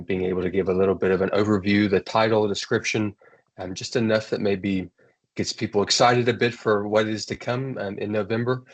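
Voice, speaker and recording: Easygoing American man hosting a webinar. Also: fairly low pitch. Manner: relaxed, rambling with restarts. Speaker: webinar host. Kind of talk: casual webinar introduction.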